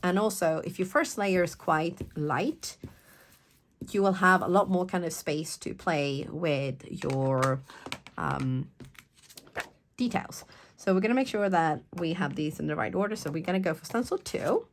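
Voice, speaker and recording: A woman hosting a craft tutorial in a casual, chatty way, slightly croaky and sniffly from a cold, rambling into playful asides. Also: on the low side, a little slow, slight background noise.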